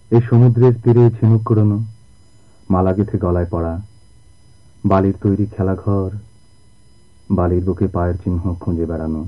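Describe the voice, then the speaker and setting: An actor playing a proud, jealous young sailor in love, speaking earnestly and poetically in a radio drama. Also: male voice, deep voice. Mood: wistful. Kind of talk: Bengali radio drama acting. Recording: tinny, narrow-band old radio recording.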